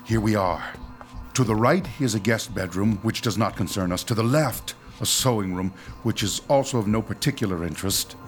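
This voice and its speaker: A man plays a stern doctor in charge of a ghost-hunting team, with a low voice. He speaks formally and precisely, like a solemn lecturer in a radio horror play. Faint music runs behind him. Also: moderate pace, natural voice. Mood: grave, ominous. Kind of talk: radio horror drama acting.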